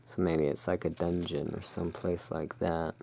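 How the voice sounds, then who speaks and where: A hushed, soothing and unhurried voice in an ASMR roleplay, playing the owner of a gothic curiosity shop. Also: low voice, little pitch movement, gravelly. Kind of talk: ASMR roleplay, showing tarot cards.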